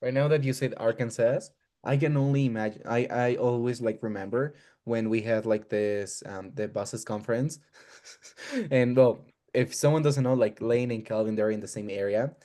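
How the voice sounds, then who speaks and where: A man's voice: mid-range voice, normal speed, warm tone. A man from Spain telling a funny story in a casual roundtable chat, laughing as he goes. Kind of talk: casual video call roundtable.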